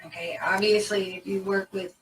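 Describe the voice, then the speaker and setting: A woman police interviewer asking calm, methodical, procedural questions. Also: mid-range voice, normal speed. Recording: distant mic with faint noise.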